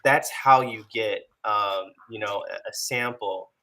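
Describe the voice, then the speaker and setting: A man's voice: fairly low pitch, takes it slowly, bright, forceful tone. A man in a casual talk show debate, arguing his point and pushing back on the hosts. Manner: argumentative, pushes back.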